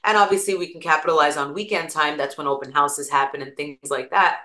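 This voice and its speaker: A woman with an East Coast American accent and a low, bright, forceful voice, chatting as an interview guest. She talks casually, piling up examples and personal stories. The room around her is echoey. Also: fairly even intonation, brisk. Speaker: guest, attorney and real estate broker.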